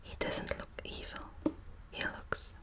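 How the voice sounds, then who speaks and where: A woman whispering close to the mic in an ASMR book video, hesitant with many ums and restarts, also reading passages aloud. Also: slow pace. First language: Dutch. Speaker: ASMR creator.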